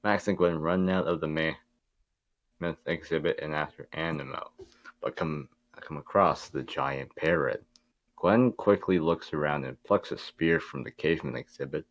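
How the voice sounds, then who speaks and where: Low-voiced man narrating a script, dry sound. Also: moderate pace, no room sound. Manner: informal, stumbles over the script. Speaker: narrator of a table read. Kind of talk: reading script stage directions aloud.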